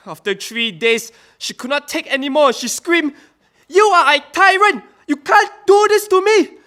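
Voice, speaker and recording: An actor playing a male witness at a wartime trial, speaking in a halting testimony that climbs into agitated outbursts. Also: very high voice, pushed and bright, exaggerated voice. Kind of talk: audio drama acting.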